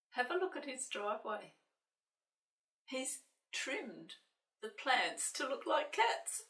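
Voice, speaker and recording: Older female storyteller for young children, highly animated pitch, playful sing-song questions, recorded in a roomy space away from the mic. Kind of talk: animated picture-book reading with asides to young children. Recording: roomy echo, distant microphone.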